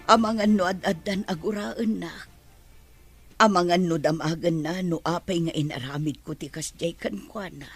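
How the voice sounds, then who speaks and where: A woman's voice: fairly low pitch, moderate pace, husky, faint music behind. A woman with a Filipino accent playing a weak, humble, long-suffering nanny in a radio drama. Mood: sorrowful. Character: weak, humble, forgiving nanny hiding her secret motherhood.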